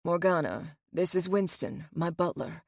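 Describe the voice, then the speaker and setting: A woman plays a composed, well-off man in a romance novel, dryly teasing. Her pitch is lowered for him, and the sound is close with little room. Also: animated, a little slow, gravelly, dry recording, full character voice.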